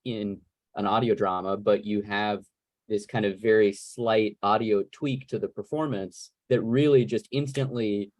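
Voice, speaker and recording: A man moderating a casual Q&A on a video call, talking in a wordy, rambling, animated way. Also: fairly low pitch, a little slow, dry recording, rough recording. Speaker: moderator of a panel Q&A. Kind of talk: casual conversational interviewing.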